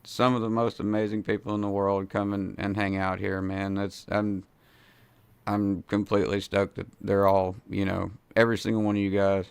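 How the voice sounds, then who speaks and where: Brief: hoarse man in his forties, laid-back and slangy, with a gravelly, fairly low voice and a dry, close studio mic. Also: little pitch movement, normal speed, dry recording. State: hoarse from a cold. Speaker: host. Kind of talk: casual video-call interview. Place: home studio with foam panels. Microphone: studio mic in frame.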